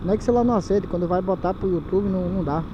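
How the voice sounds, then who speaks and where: A high-voiced man from Manaus with a Brazilian Portuguese accent rides through the city at night and talks to his viewers in loose street slang. His helmet camera makes the audio dull and muffled. Loud wind and engine roar sit right under his voice. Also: rapid-fire. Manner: laid-back slangy chatter to viewers. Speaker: motovlogger. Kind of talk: casual motovlog commentary while riding. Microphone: helmet camera mic.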